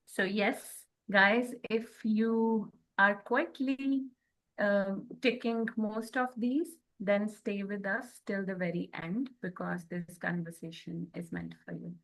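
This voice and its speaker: A woman co-hosting a conversational spiritual talk, speaking in short, halting phrases. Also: mid-range voice, steady tone, gravelly, a bit bright, rough recording. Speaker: podcast co-host.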